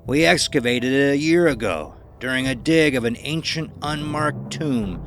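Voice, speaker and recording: A man's voice: mid-range voice, a bit bright, pitched above the performer's own voice, natural voice. A man voicing a sinister, smug elderly man with a bandaged face, drawling mocking lines in an audiobook, with faint music behind. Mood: menacing. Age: older. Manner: mocking, drawn-out, condescending. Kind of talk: audiobook character voice.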